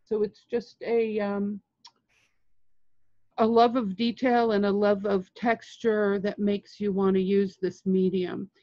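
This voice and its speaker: Slow-talking older woman teaching art. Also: medium pitch, little pitch movement, dark tone, no room sound. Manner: unhurried, halting phrases with long pauses. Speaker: colored pencil artist demonstrating techniques. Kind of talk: informal art tutorial talk. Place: indoors, home studio room with framed art. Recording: dull, lo-fi webcam-style sound.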